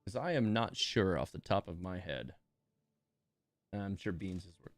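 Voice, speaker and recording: A man's voice: low-pitched, animated, unhurried, no echo. A man giving casual live stream commentary on a game in a rambling, amused, conversational way.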